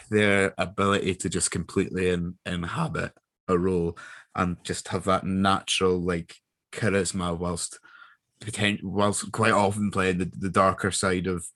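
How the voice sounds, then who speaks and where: A man chatting casually as an interviewed actor, jokey and self-deprecating, with halting phrasing. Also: deep voice, flat delivery, sharp tone, no room sound. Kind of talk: casual chat.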